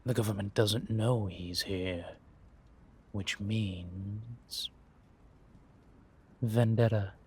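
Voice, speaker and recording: A man playing a sly, scheming vampire spy in an audio roleplay, husky and theatrical, savoring his words in drawn-out musings. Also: fairly low pitch, fairly even intonation, darkish tone, slight background noise. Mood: wry, relishing. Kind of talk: scripted audio roleplay monologue addressed to a companion.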